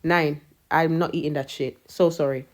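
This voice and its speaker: A woman's voice: low voice, moderate pace. A woman, ranting casually and swearing freely in short social media clips. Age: 30s. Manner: brash, sweary, animated. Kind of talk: casual storytime rants.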